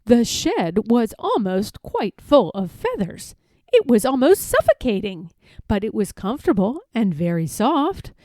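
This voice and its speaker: A woman narrating a children's story from a script, expressive and careful in her phrasing. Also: on the high side, measured pace, no echo, studio quality. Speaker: storybook reader.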